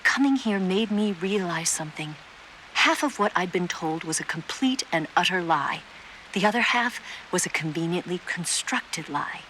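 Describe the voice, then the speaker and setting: An actress playing a cool, worldly female spy in a video game, speaking in a measured, enigmatic way. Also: fairly low pitch, animated, bright, forceful tone, a little hiss or hum, rough recording. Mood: reflective.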